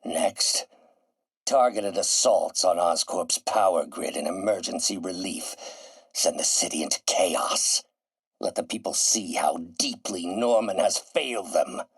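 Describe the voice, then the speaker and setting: A man's voice: sits low, a little slow, breathy edge, no room sound, heavily acted. A man playing an older scientist turned bitter, vengeful villain in a video game, speaking in a theatrical, precise, erudite way. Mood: cold, scheming. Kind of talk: video game voice acting, dramatic isolated lines.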